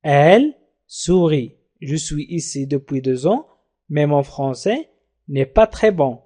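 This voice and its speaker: A man teaching beginner French in Arabic, repeating each phrase slowly and translating it. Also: a little high, animated, warm tone. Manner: slow, careful repetition of French phrases with Arabic glosses. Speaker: language teacher. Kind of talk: French lesson explained in Arabic.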